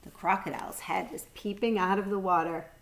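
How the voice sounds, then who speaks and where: A woman's voice: medium pitch, fairly even intonation, fairly quick, poor audio. A woman reading a children's storybook aloud like a teacher, expressively and speaking directly to young listeners.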